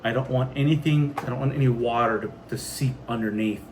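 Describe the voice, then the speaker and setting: A man's voice: medium pitch, fairly quick, darkish tone, slight background noise. A man with an American accent chatting casually on a homestead vlog in a plainspoken, folksy way. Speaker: homesteading video host.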